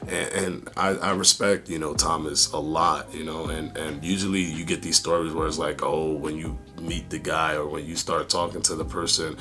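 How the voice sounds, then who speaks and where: A young man with a hoarse, raspy voice, rambling casually through a fitness vlog update with many fillers. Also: extremely low pitch, flat delivery, moderate pace, few pauses, bright, forceful tone, faint music behind. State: hoarse, losing voice. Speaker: fitness YouTuber.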